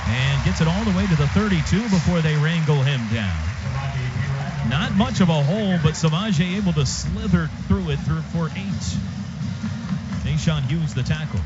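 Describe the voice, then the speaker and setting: A man's voice: fairly high pitch, expressive, moderate pace, loud music behind the voice. An American man calling live football play-by-play on the radio in clipped, punchy phrases. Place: stadium radio booth. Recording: dull, noisy live radio broadcast.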